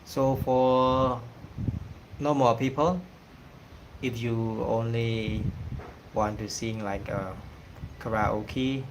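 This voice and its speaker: A man from Cambodia with a Cambodian accent, whose first language is Khmer, talking casually on a livestream and pausing often with fillers. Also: mid-range voice, steady tone, background noise. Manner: hesitant, many pauses and fillers. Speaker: singing channel host.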